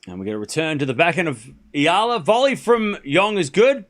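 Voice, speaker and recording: Excitable Australian man calling tennis. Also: brisk. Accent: Australian, softened. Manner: rises excitedly on rallies, drops low and muttering between points. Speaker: streamer commentator. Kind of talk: live play-by-play commentary with chat.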